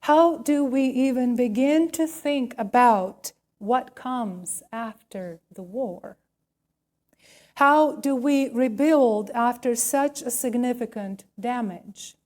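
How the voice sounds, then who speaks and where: A woman preaching a sermon as a minister, telling stories and posing questions in deliberate, emphatic phrases. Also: on the high side, a little mellow. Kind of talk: sermon with storytelling and rhetorical questions.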